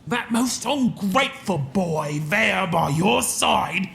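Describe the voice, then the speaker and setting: Gravelly, impassioned defiant sea captain. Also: male voice, high-pitched, expressive, slow pace, fairly bright tone, soft background music, exaggerated voice. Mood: indignant. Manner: declamatory, impassioned. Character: defiant, betrayed sea captain under arrest. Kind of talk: Shakespearean audio drama acting. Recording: echoey, noisy ambience.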